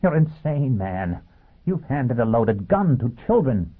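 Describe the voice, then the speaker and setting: An alarmed male pursuer in a science fiction tale , acted by a man reading aloud. His voice is strained upward and husky, full of outraged disbelief. The sound is boxed into a thin, phone-like band. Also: mid-range voice, sing-song, measured pace, pitched above the performer's own voice. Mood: incredulous. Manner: strained shouting, incredulous. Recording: muffled, phone-like narrow band.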